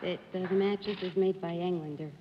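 A woman's voice: fairly low pitch, fairly even intonation, a little fast, over a noisy crowd. A woman contestant eagerly chatting about her work with the host of a television game show. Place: television studio. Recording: dull lo-fi 1950s broadcast with hall reverb.

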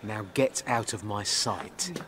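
An actor playing a blunt, commanding East End gang boss in a radio drama. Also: male voice, lowish voice, expressive, slow pace. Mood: menacing. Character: menacing male East End gang boss, gruffly matey. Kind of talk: BBC radio drama acting. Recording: noisy ambience behind the voice.

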